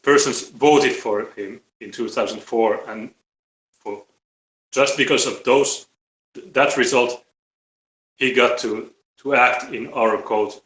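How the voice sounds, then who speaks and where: A man with a Finnish accent talking casually and bluntly on a live stream, halting and repeating his words. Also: medium pitch, pushed and bright. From Finland. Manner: halting, repetitive, blunt with swearing. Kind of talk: casual opinionated live talk. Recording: roomy, echoey live-stream audio.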